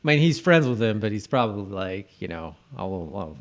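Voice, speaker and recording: A man co-hosting a podcast, chatting casually in a halting, repetitive way as if thinking aloud. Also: on the low side, big pitch swings. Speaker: podcast co-host. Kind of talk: casual chat about a TV episode.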